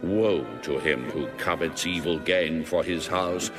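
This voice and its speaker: Slow, low-voiced man over music. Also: fairly even intonation. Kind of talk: formal scripture narration. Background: music behind the voice.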